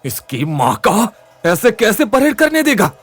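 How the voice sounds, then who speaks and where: A man's voice: high voice, very animated, measured pace, fairly bright tone, voice pushed up, full character voice. A man voicing a hot-headed, righteous young student in an audio story, indignant and swearing.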